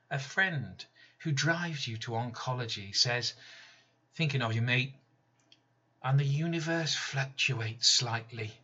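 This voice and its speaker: A man reading his own poem slowly, measured, with sudden loud emphatic rises and soft drops. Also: mid-range voice. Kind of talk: slow spoken-word poetry reading. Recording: slightly dull lo-fi recording.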